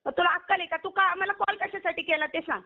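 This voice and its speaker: A woman's voice: extremely high pitch, steady tone, quick pace. A woman arguing heatedly over the phone, scolding and firing insistent questions at the other person. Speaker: online video performer receiving a prank call. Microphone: phone call. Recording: muffled lo-fi phone call recording.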